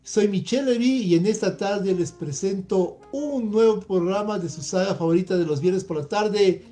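A man hosting a radio interview with a polished, measured presenter delivery from a studio. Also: high-pitched, dark tone. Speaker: radio show host. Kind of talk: radio interview, formal welcome.

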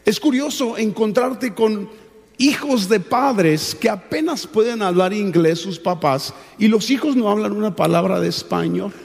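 A man's voice: sits high, unhurried, gravelly, darkish tone. A middle-aged man with a Mexican accent preaching a sermon, teaching emphatically with repetition and rhetorical questions. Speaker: pastor preaching. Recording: reverberant large hall.

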